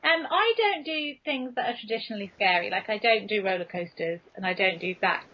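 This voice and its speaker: A woman's voice: medium pitch, lively intonation, fairly quick. An English woman chatting casually and frankly as an interview guest, self-deprecating in the way she talks. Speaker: guest author being interviewed. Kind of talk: casual chat. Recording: dull, lo-fi early podcast recording.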